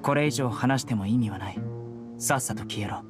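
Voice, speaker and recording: A man voicing a stern young enforcer in an anime game, terse, measured and serious. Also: medium pitch, natural voice. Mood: cold, dismissive. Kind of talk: Japanese anime game voice acting. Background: background music with guitar.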